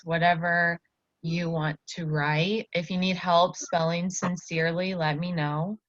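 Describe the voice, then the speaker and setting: A woman, a student teacher giving an encouraging one-to-one lesson to a child. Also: on the low side. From Iowa, United States. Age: early 20s. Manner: encouraging, praising. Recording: dull, echoey lo-fi room recording.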